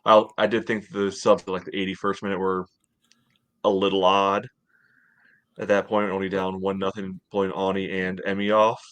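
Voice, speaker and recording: A man's voice: low-pitched, flat delivery, normal speed, fairly bright tone, no room sound. A man in his thirties co-hosting a casual sports analysis from home on a video call. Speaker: podcast co-host.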